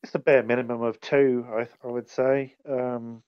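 A man appearing as a guest on a football podcast, speaking in a measured way with many "um"s. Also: medium pitch, flat delivery, clear, resonant tone, dry recording, lo-fi sound. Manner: measured, level, filled with "um"s. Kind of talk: casual football podcast chat.

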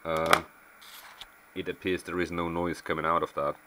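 Clear background noise sits under a man's very low voice, caught on a camera mic in a small workshop. He gives an unscripted technical walkthrough as a repairer. His manner is matter-of-fact, working things out aloud as he goes. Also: level tone, moderate pace. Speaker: amateur radio repairer presenting. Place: small indoor workshop at an electronics bench.

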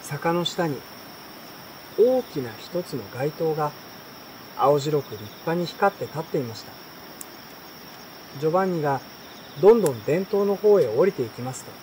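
A man reading a children's story aloud in a relaxed, unhurried way, pausing between short phrases. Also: fairly high pitch, poor audio. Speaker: reader. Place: outdoors at night by a campfire at a campsite. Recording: clear insect and outdoor night noise. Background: insects, stream, campfire.

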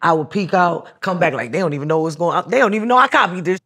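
A woman's voice: fairly low pitch, quick pace, husky. A woman in her thirties from New York, talking frankly and animatedly as an interview guest. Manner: frank, animated, voices others in quotes. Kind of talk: candid interview storytelling. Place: studio set, indoors.

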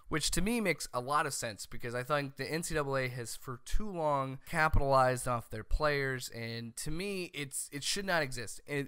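An American man in his thirties giving loud, emphatic, opinionated sports commentary as a show host, close on a studio mic. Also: fairly high pitch, clean tone, no echo. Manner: loud, emphatic, exasperated ranting. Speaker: host of a college football show. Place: small room at home. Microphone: close studio mic on a boom arm.

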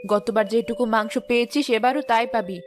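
A woman playing a snobbish, quick-tempered village chief's wife who scolds condescendingly in a cartoon. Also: on the high side, fairly even intonation, close to an everyday voice. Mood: scornful. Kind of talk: cartoon dialogue. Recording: music behind the voice.

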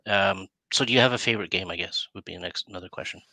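A man's voice: deep voice, racing, creaky, pushed and bright, dry recording, rough recording. A middle-aged man chatting casually as an interview host in a rambling, self-interrupting way. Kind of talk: casual chat. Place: indoor room, video call. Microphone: headset.

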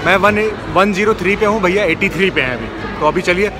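Excited young man filming at a bowling alley. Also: sits high, brisk, over music. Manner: excited, chatty play-by-play. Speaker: vlogger. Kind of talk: casual vlog chat. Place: busy indoor bowling alley. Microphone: phone camera held at arm's length. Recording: loud crowd and alley noise.

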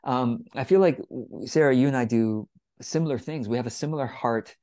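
A middle-aged man hosting a casual interview on a video call, talking with warmth and enthusiasm from a room at home through an earphone mic. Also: mid-range voice, brisk, no echo, clean studio sound. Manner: warm, enthusiastic, gestures a lot while talking. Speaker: host.

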